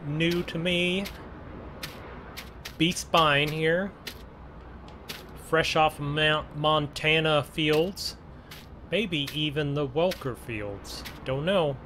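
A man giving casual role-play gameplay commentary in a halting way, musing aloud to himself. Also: a little high, pushed and bright. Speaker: gaming channel host. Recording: clear engine and vehicle noise behind. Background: game vehicle engine sounds.

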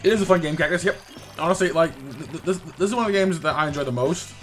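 A young man with a slightly raspy voice from a recent cold, hosting a casual live game stream with viewers and often stammering over repeated words. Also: fairly high pitch, fast talker, soft background music. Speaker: livestream gaming host.

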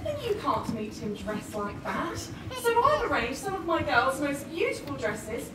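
A woman's voice: high-pitched, sing-song, measured pace. A woman playing a scheming older pantomime stepmother, haughty, theatrical and falsely kind on a live stage. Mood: falsely sweet. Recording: echoey distant theatre, noisy audience.

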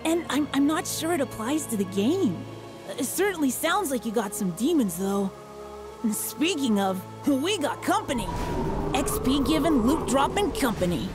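High, animated game wolf companion. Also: female voice, normal speed, over music. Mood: wry, hesitant. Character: talking wolf companion in a video game, caring and wry. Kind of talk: animated voice acting.

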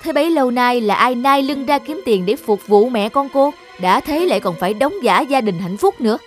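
A woman's voice: on the high side, lively intonation, fairly quick, lightly acted. Woman doing a grumpy cartoon dad, blunt and dismissive, bit of music under it. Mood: angry. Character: irritable, self-important husband and father. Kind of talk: cartoon dubbing.

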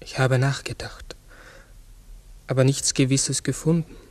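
An actor playing a pensive, courteous, human-sized talking raven in a 1960s German radio play, speaking hesitantly and formally. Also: male voice, medium pitch, fairly even intonation, measured pace, darkish tone, natural voice.

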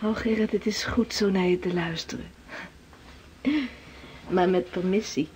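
An actress playing a seafarer's doting, curious sweetheart in a radio drama, attentive and coaxing. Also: female voice, fairly low pitch, steady tone, measured pace, a little mellow, a little hiss or hum, natural voice. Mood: tender, seductive.

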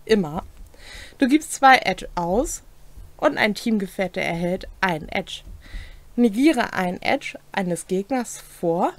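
A young adult woman co-hosting a tabletop game explainer, reading scripted rules aloud in a room at home. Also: medium pitch. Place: small home room, indoors.

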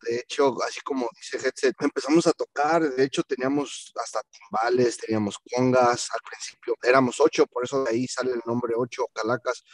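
A man from Mexico, a band vocalist telling stories in a relaxed, slangy way on a video call, slipping into English now and then. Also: medium pitch, a little fast, few pauses, dry recording, poor audio. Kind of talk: casual storytelling in an interview.

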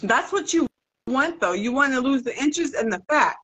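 A woman's voice: sits high, expressive, normal speed, fairly bright tone, a little hiss or hum. A middle-aged American woman hosting a casual livestream Q&A in an informal, playful way. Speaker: host. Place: living room at home, video call. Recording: dull, muffled video-call audio.

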